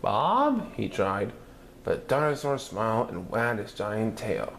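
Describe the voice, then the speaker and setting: A man reading a children's picture book aloud in an animated storytelling manner, putting on voices for characters. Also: fairly low pitch, unhurried, a little hiss or hum. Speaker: story reader.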